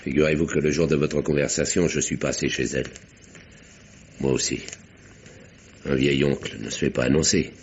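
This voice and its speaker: A man's voice: extremely low pitch, level tone, normal speed, pauses often. An actor playing an earnest, insistent older uncle in an old French radio drama, speaking formally and articulately as he probes. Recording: dull, noisy vintage radio recording.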